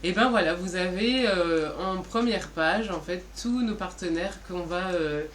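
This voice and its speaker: A woman's voice: on the low side, unhurried, slight background noise. A woman co-presenting in an informal, didactic way, posing questions and then answering them. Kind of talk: informal explanatory business presentation.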